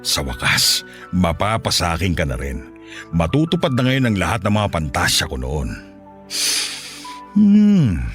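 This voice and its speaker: An actor playing a lustful, coaxing middle-aged Filipino widower in a Tagalog radio drama. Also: male voice, low voice. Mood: lustful, eager. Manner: suggestive, coaxing, chuckling seducer.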